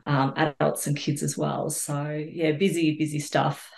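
A middle-aged woman with an Australian accent tells things casually as an interview guest. Her voice is distinctly low for a woman. The sound is noticeably roomy and echoing. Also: level tone, measured pace, gravelly, a little mellow. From Australia, New South Wales. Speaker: podcast guest, social worker.

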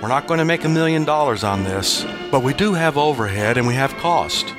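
A man's voice: mid-range voice, expressive, fairly quick. A man giving an informal promotional appeal as a podcast producer. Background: music.

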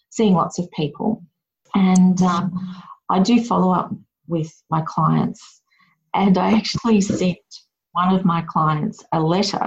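Husky low-voiced woman chatting reflectively. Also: dull audio. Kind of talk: casual chat.

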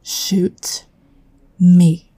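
A woman's voice: fairly low pitch, level tone, very slow, dark tone, slight background noise. A woman playing a confident, powerful psychic agent in a sci-fi audio drama, direct and matter-of-fact. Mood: cool, confident.

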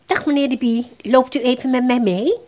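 A woman's voice: high voice, normal speed, noisy background, lightly acted. A woman playing a courteous, businesslike hair salon employee in a radio play, politely attending to a customer. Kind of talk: Dutch amateur radio play dialogue.

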